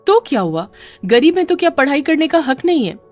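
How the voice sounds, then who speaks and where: A woman's voice: high voice, sing-song, a little fast, highs cut off, faint music behind, pitched above the performer's own voice. A woman voicing a kind, determined schoolteacher in a children's moral story. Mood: indignant.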